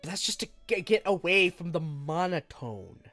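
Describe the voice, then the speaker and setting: A man's voice: high voice, normal speed, no room sound, soft background music. A man streaming games, chatting casually in a rambling, self-deprecating way, with a stuffy nose and a lingering cough. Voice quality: self-described monotone voice. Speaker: game streamer.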